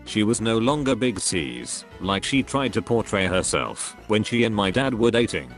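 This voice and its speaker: A computer-generated male voice reading forum stories aloud in a flat, even way, spelling out symbols literally. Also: on the low side, moderate pace, soft background music. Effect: text-to-speech voice. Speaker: narrator reading posts.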